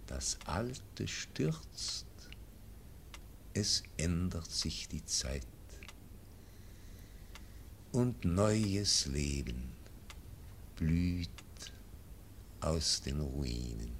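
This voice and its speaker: An actor playing a frail, dying old nobleman, speaking verse slowly and fading into whispers. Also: a bass voice, lively intonation, a little hiss or hum, exaggerated voice. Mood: solemn. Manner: slow, fading, drops into whispers. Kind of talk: classic radio drama verse.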